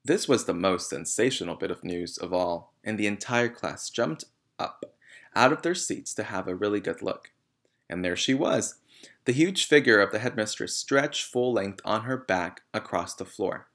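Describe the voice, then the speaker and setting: A man's voice: lowish voice, expressive, moderate pace, creaky, fairly bright tone. A man reading a children's novel aloud as narrator. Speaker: reader.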